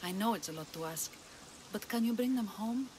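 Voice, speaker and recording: A woman's voice: medium pitch, brisk, a little mellow, slight background noise, close to an everyday voice, rough recording. An actress playing a worried, dignified female magistrate of an ancient Greek village in a video game, formal and earnest. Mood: earnest, pleading. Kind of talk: video game cutscene acting.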